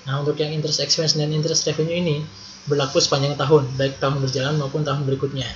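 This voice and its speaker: A young man with a fairly high voice teaches in a casual tutorial style. He goes step by step, asking questions and answering them himself, with fairly even intonation. The recording is slightly dull, with faint noise behind him. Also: fast. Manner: step-by-step, conversational, rhetorical questions. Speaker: accounting tutor. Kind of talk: casual explanatory video lesson. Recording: muffled lo-fi with faint background noise.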